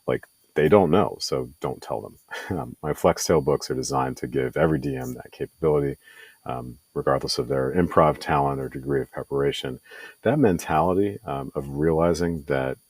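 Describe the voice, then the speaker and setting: A man's voice: extremely low pitch, normal speed, darkish tone, slightly rough, no echo. A middle-aged man presenting a game tutorial from his home laundry room, earnest and self-deprecating with frequent ums. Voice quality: radio voice. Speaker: indie game publisher and video presenter.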